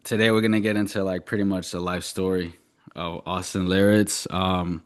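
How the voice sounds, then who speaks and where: Young guy hosting a podcast, deep voice, casual slangy talk, no room echo. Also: level tone, moderate pace, clean studio sound. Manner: informal, slangy. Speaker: podcast host. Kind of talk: casual interview.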